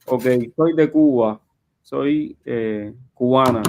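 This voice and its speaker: A man's voice: mid-range voice, slow, phone-quality sound. A man hosting a live question-and-answer show, reading audience questions aloud in a conversational way. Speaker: live show host and journalist.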